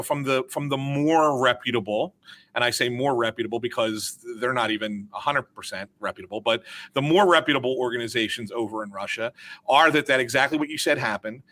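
A man, a hockey reporter, explaining news in casual sports talk, measured and cautious with frequent fillers. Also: mid-range voice, sharp tone.

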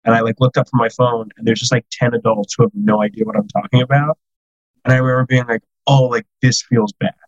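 A man's voice: mid-range voice, level tone, quick pace, no room sound, lo-fi sound. A man in his thirties from the United States, a podcast guest telling self-deprecating stories in casual chat, full of "like" fillers.

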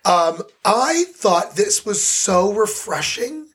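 A man's voice: sits high, sing-song, takes it slowly, a bit bright, slightly rough. A man co-hosting a podcast, chatting eagerly while losing his voice, recorded on a close-up microphone. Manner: chatty, enthusiastic, talks a lot. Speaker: co-host. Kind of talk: casual chat.

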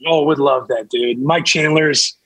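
Raspy young guy chatting on a podcast, kinda high voice, dry close mic, laid back. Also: measured pace, a bit bright, dry recording, poor audio. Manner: candid, laid-back storytelling with frequent fillers. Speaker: podcast guest, former football player. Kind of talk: casual chat.

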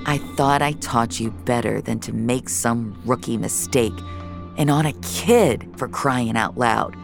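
A woman's voice: rumbling low, measured pace, gravelly, over music, full character voice. A woman performing a hardened, resentful male mentor turned captor, with a weary, stern manner for audio fiction. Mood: stern, exasperated.

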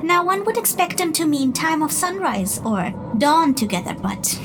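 High-pitched, giggly, teasing immortal spirit. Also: female voice, big pitch swings, over music. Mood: teasing, amused. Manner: giggly, teasing, drawn-out condescension. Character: playful teasing immortal female spirit, condescending to mortals. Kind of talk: fantasy audio drama monologue to the listener.

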